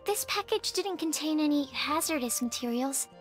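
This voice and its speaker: A woman's voice: high voice, lively intonation, moderate pace, faint music behind. An actress playing a shy, earnest young female researcher in a video game, speaking politely, precisely and a little hesitantly. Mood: earnest, matter-of-fact.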